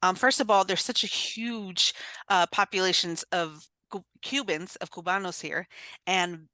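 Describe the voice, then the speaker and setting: A woman hosting an interview in a casual, animated way, exclaiming and laughing often. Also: on the low side, unhurried, no echo. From United States, Uruguayan family. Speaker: host.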